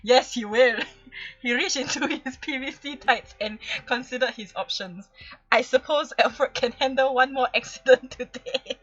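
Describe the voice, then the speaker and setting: A woman's voice: high-pitched, normal speed, a bit bright, no room sound, highs cut off, faint music behind. A woman with a Singaporean accent playfully reading fan fiction on a livestream, often breaking into giggles. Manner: giggly, often breaking into laughter mid-sentence. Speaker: livestream host.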